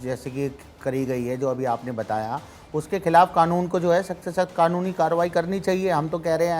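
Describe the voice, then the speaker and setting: A man arguing as a religious scholar on a TV debate, halting and repeating himself insistently. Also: on the high side, darkish tone. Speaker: Islamic scholar, debate panelist. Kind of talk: live TV debate argument.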